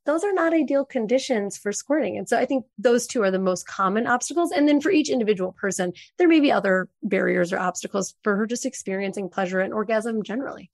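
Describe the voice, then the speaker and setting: A woman's voice: mid-range voice, animated, fast talker, hardly any pauses, dry recording. A woman coach answering interview questions in a fluent, instructive way. She sometimes stresses points firmly, and the recording is dry and close. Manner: fluent, instructive, emphatic explaining. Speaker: sex and intimacy coach, guest.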